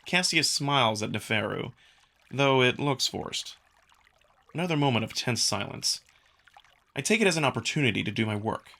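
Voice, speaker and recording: Bright, animated male narrator. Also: mid-range voice, normal speed, vocal fry, long pauses. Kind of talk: first-person scripted narration of a visual novel.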